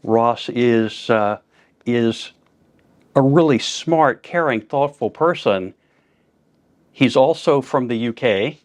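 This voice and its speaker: A man's voice: medium pitch, takes it slowly, long pauses. Friendly man hosting a podcast interview. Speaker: podcast host.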